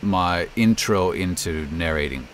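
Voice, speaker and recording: A man's voice: deep voice, animated, measured pace, slight background noise. A middle-aged American man chatting casually in an interview as a voice actor and narrator. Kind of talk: casual interview, telling career story.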